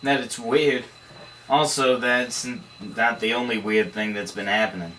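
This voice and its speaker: A man's voice: medium pitch, fairly even intonation, unhurried, bright, forceful tone. A man playing a slangy, skeptical 1930s police detective, conversational and wisecracking, at a table read. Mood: wry, confiding. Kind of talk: noir dialogue at a table read. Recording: distant mic, faint room noise.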